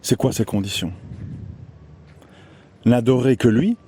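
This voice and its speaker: Mellow-voiced man in a reflective monologue. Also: mid-range voice, slightly rough. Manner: measured, searching for words with frequent "euh" and restarts; asks rhetorical questions to the listener. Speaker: online commentator. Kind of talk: personal monologue, reflective and preachy.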